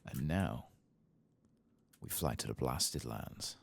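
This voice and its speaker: A man giving soft-spoken ASMR commentary on a video game, unhurried and often slipping into a breathy whisper. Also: very deep voice, lively intonation.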